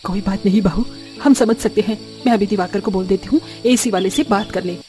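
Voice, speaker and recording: A woman's voice: medium pitch, a little fast, warm tone, faint music behind, lightly acted. A woman voicing a mild, patient older mother-in-law in a cartoon story. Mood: accommodating. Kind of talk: acted cartoon story dialogue.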